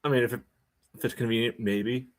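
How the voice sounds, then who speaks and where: A man's voice: medium pitch, fairly even intonation, brisk. A man co-hosting a sports podcast, talking casually. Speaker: podcast co-host.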